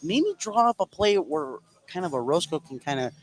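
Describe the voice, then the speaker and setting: A man doing live play-by-play basketball commentary for radio, getting excitable on big plays. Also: fairly high pitch, normal speed. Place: indoor basketball gym. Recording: noisy live gym broadcast. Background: loud game ambience.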